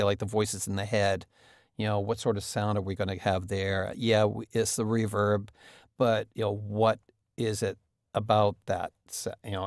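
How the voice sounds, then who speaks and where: A man with a lowish, creaky voice in a close, dry recording. He talks casually as an interview guest, effusive and exploratory as he explains his craft. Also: dry recording. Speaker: audio drama director being interviewed.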